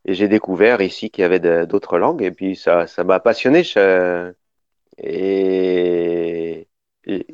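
A man's voice: sits low, steady tone, slow pace, darkish tone, clear, resonant tone, dry recording. A middle-aged man from Paris, a documentary filmmaker being interviewed on radio, who explains at length and often restarts his sentences. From Paris, northern France. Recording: dull, dry studio sound.

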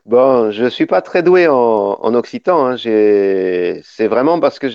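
A man's voice: mid-range voice, animated, unhurried, darkish tone, clear, resonant tone, no echo, soft background music. A middle-aged man from Paris, a documentary filmmaker being interviewed on radio, who explains at length and often restarts his sentences. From Paris, northern France. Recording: dull, dry studio sound.

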